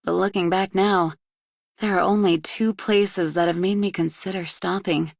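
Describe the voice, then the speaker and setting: An actress playing a restless, reflective drifter with a creaky voice in a game monologue. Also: female voice, on the low side, fairly even intonation, moderate pace, no echo, clean studio sound. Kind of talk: scripted game character monologue.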